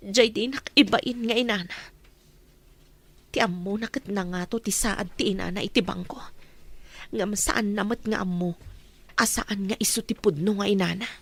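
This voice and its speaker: A woman's voice: medium pitch, moderate pace, pauses often, close to an everyday voice. An actress playing a determined, scheming mother who longs for her child, in an Ilocano radio drama.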